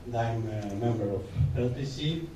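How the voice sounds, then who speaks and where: A geologist with a Russian accent gives a short, light-hearted introduction. He is far from the mic in a very echoey hall with clear background noise. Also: mid-range voice, normal speed. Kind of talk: workshop self-introduction.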